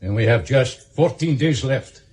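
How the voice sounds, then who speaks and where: A male actor as a senior Soviet official in a radio drama. He is deferential yet shrewd, with a medium pitch and lively, shifting intonation. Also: a little slow, close to an everyday voice. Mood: shrewd, questioning.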